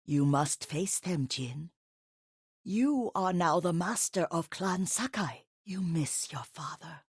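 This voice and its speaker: Old woman caretaker, game dialogue, unhurried pace, close dry sound, gentle, softly instructive, devoted. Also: on the low side, expressive, dry recording, heavily acted. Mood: solemn, consoling. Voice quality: husky, breathy. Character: elderly devoted caretaker woman, herbalist, gentle but firm. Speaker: video game voice actor. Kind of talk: video game character dialogue.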